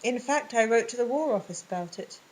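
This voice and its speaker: A woman voicing a stern, ponderous middle-aged male vicar, speaking in a measured, disapproving way. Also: lively intonation, a little hiss or hum, natural voice, poor audio. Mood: reproachful. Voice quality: low and ponderous. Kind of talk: audiobook reading.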